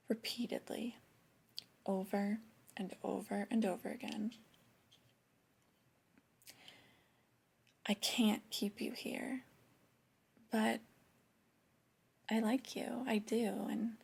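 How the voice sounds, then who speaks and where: Breathy, half-whispering flirty boss played by a woman. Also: on the high side, full character voice. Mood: coy, apologetic. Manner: gentle, hesitant, intimate delivery. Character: flirty female boss, disapproving yet coaxing. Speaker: ASMR performer. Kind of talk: soft-spoken ASMR roleplay.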